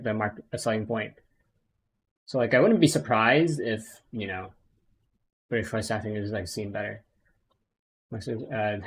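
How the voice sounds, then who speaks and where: A man's voice: medium pitch, breathy edge. A man streaming a game, chatting casually and rambling with lots of fillers. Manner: rambling, many fillers and restarts. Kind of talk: casual gaming commentary.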